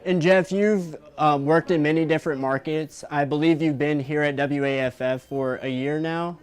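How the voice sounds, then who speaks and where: A young man hosting a live news interview, asking questions in measured, hesitant phrases. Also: on the high side, steady tone, clear, resonant tone. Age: young adult. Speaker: digital news host, interviewer.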